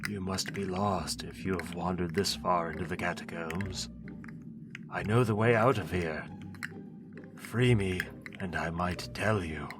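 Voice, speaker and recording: A man's voice: low voice, measured pace. A man voicing a proud sorcerer bound in a crypt, commanding and bargaining. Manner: imperious, bargaining. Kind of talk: audio drama acting. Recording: music underneath. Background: background music.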